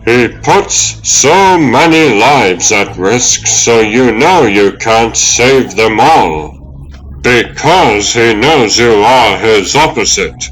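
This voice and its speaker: A man voicing the wise, gentle ghost of an elderly butler in a comic dub, speaking in measured, solemn counsel. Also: mid-range voice, expressive, low-quality recording. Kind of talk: fan comic dub acting.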